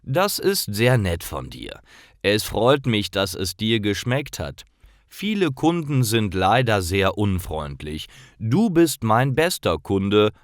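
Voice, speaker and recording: A man plays a friendly kebab shop owner from a learner's storybook, caring and solicitous. His speech is slow and clearly enunciated, with big swoops in pitch and a dry sound. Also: very animated, no room sound. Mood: grateful. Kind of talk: acted storybook dialogue for learners.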